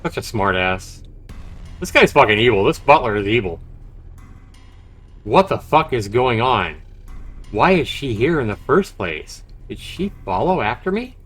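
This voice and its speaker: A man's voice: medium pitch, very animated, a little slow, long pauses, a bit bright, husky. A man livestreaming a visual novel, reading the text aloud dramatically and reacting expressively as he comments. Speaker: game streamer. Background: game music.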